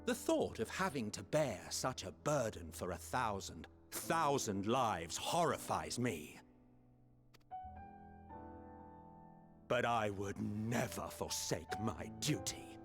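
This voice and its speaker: An actor playing a proud, disdainful ancient official in a video game, speaking in a formal, emphatic, theatrical way. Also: male voice, fairly low pitch, lively intonation, measured pace, hardly any pauses, fairly bright tone. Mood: grave, resolute. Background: background music.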